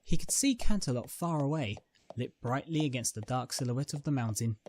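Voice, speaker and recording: A man's voice: medium pitch, a little fast, darkish tone, a little hiss or hum. A man reading a scripted dramatic story as its narrator.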